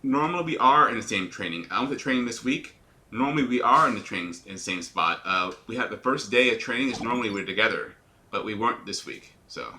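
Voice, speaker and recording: Man with a sharp, forceful radio-style voice. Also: mid-range voice, animated, quick pace. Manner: talks in a put-on radio-announcer voice; explains checklists step by step and answers viewer questions. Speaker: airline pilot. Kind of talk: live-streamed flight sim commentary.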